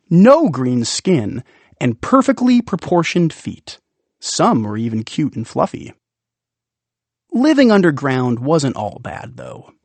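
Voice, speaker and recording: A man narrating a children's audiobook in an expressive, playful way with dramatic pauses. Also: medium pitch, no echo. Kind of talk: expressive scripted storybook narration.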